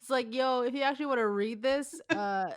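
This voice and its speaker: A woman's voice: fairly high pitch, a little fast, no room sound. A woman co-hosting a casual fan fiction read-aloud, joking and breaking off into chatty, informal commentary. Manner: informal, joking, goes off on tangents.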